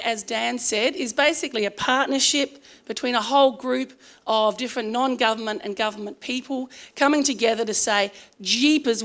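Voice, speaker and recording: A woman's voice: on the high side, a bit bright. Australian woman in middle age, conference speaker, earnest and chatty with lots of ums, slightly strained voice. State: strained voice from singing. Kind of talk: conference presentation.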